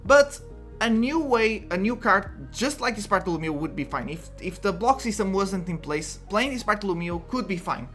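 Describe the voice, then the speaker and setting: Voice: mid-range voice, expressive, moderate pace, a bit bright, soft background music. Chatty Portuguese-accented card game explainer. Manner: chatty, restarts sentences, light chuckles. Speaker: card game content creator. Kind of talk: casual explainer talk to camera.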